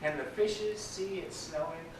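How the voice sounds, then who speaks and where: Guy doing a cheeky little kid from a storybook, excited and bragging, really echoey, bit noisy. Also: fairly high pitch, measured pace, lightly acted. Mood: eager mischief. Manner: eager, boastful, childlike. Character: mischievous excitable young Welsh boys plotting pranks. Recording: echoey distant live recording with background noise.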